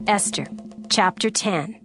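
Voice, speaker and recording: A woman narrating an audio Bible in a measured, solemn storytelling manner. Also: medium pitch, music in the background. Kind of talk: scripted scripture narration.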